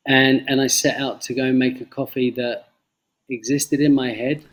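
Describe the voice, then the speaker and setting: Middle-aged London man, halting, emphatic storyteller. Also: medium pitch, steady tone. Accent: London English. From London, England. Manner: halting, emphatic, repeats phrases. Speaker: interview guest, former banker turned coffee farmer. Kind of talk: reflective personal storytelling in a remote interview. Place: remote video call from Indonesia.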